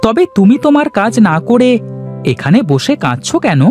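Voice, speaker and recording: A man's voice: high-pitched, sing-song, normal speed, darkish tone, faint music behind, voice pushed up. A man voicing an eager, earnest young farm boy in a children's fairy tale cartoon. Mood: concerned, helpful.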